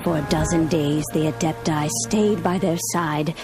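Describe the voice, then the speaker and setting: An actress playing an ageless, wise immortal woman in a video game, telling old tales in a solemn, measured, formal way. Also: sits low, steady tone, over music, lightly acted. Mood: reverent storytelling. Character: ageless immortal adeptus woman, wise, stately, nostalgic storyteller. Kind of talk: dramatic video game storytelling.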